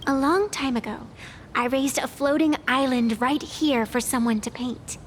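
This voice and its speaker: A woman's voice: sits high, moderate pace, slight background noise, natural voice. An actress voicing a proud, whimsical, long-lived female arbiter in a video game, earnest as a storyteller. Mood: matter-of-fact, wistful. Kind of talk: video game cutscene acting. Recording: music behind the voice. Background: background music.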